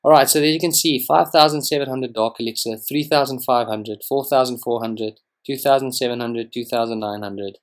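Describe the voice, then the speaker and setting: A young man whose first language is Afrikaans gives relaxed, rambling commentary over strategy game footage, with frequent ums and restarts. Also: mid-range voice, a little fast, hardly any pauses. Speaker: gaming channel host. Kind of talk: casual gameplay commentary.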